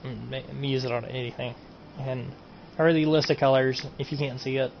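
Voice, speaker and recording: A man's voice: mid-range voice, level tone, a little fast, gravelly. Rambling lo-fi gaming streamer guy. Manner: rambling, repeats himself, trails off with "and everything". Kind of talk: casual mod showcase. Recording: dull lo-fi home recording, faint noise.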